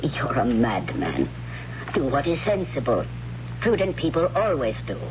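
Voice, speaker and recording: A performer playing a stern, devout priestess in a 1970s radio drama, speaking in a formal, measured, solemn manner. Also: mid-range voice, big pitch swings. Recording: muffled, noisy 1970s radio recording.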